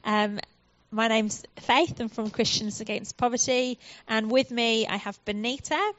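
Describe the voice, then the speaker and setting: A woman's voice: on the high side, steady tone, measured pace, a bit bright, dull audio, a little hiss or hum. A woman from the United Kingdom with a British accent, a charity guest speaker giving a friendly, earnest church talk with frequent ums.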